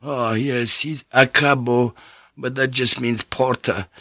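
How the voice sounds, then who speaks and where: A man's voice: medium pitch, steady tone, takes it slowly, bright, forceful tone, no room sound, studio quality, voice pushed up, exaggerated voice. A man doing a ranting old friar. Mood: explaining. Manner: agitated, breathless, ranting. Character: ranting feverish old Spanish friar, bitter.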